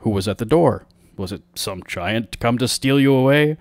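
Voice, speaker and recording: Guy doing a stern fatherly king from a fairy tale, measured, medium-low, dry sound. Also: lively intonation, no echo, lightly acted. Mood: concerned, questioning. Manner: measured, authoritative. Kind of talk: storybook character voice.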